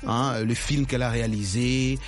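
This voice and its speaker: A man's voice: medium pitch, steady tone, normal speed. A man hosting an interview, talking with many hesitations and restarts. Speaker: radio show host.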